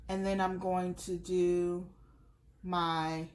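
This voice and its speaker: A woman talking through a craft tutorial at home while she works, a bit sniffly from allergies. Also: fairly low pitch. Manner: slow, relaxed, talks while working. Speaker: crafter showing a tumbler project.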